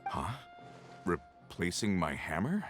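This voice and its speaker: Game role: discontented working dad, low and plain-spoken, music underneath. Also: male voice, sing-song, takes it slowly, heavily acted. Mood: thoughtful. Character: weary working-class man, discontented family provider. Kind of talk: scripted video game dialogue.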